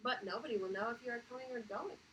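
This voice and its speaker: A woman's voice: on the high side, fast talker, a bit bright, recorded from a distance, a little hiss or hum, lightly acted, poor audio. A woman reading a storybook aloud as a patient mother questioning her daughter in a sing-song way. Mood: exasperated.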